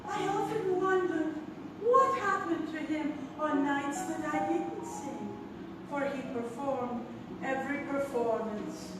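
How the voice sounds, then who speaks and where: A woman playing a wistful former opera singer who delivers a formal, theatrical dramatic monologue in a live radio play. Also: high-pitched. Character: wistful woman opera singer recalling her lost career. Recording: echoey theatre hall from far off. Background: music.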